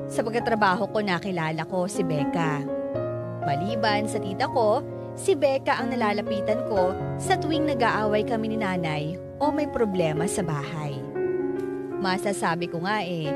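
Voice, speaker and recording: A woman's voice: medium pitch, lightly acted. A woman acting a reflective young Filipino student who narrates her life story in a scripted radio drama. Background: piano music.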